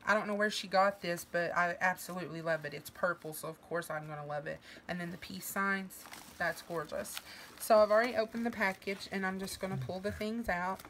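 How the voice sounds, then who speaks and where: A middle-aged woman with a drawl talks to a camera a few feet away in her living room. Her bright voice is worn down by a sinus infection, and she gushes with thanks and fills pauses with "um." Faint household noise sits in the background. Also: on the low side, steady tone. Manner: gushing, warm thanks with fillers. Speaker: vlogger. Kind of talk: casual mail-opening vlog.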